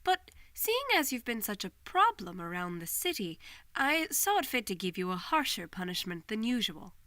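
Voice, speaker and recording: A woman voicing a regal, composed pony princess who speaks formally and with measured authority in a fan fiction reading. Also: mid-range voice, very animated, sharp tone, no room sound. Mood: stern, formal.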